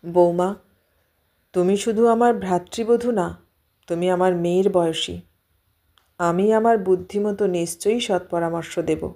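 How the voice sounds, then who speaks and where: A woman voicing a kindly, measured older man who gives fatherly advice in an audio story. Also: fairly low pitch, mellow. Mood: kindly, sober.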